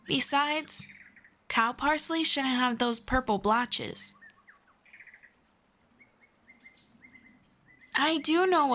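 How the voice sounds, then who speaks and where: A woman playing a friendly, knowledgeable elf in a scripted roleplay, speaking to the listener in a formal, measured, gently explanatory way. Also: sits high. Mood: friendly, instructive. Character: friendly, knowledgeable female elf guiding a human. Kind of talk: scripted roleplay audio, one-sided conversation with listener.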